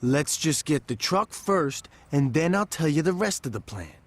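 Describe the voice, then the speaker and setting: An actor playing a shrewd, impatient young street gang lieutenant in a video game, slangy and confident, with an American accent. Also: male voice, fairly high pitch, big pitch swings, normal speed.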